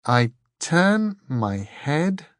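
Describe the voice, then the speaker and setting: A man's voice: mid-range voice, very animated, slow, slightly rough, dry recording, studio quality, voice pushed up, full character voice. A man voicing a thoughtful young noblewoman in an audiobook, musing and tentative as she reasons aloud. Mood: puzzled.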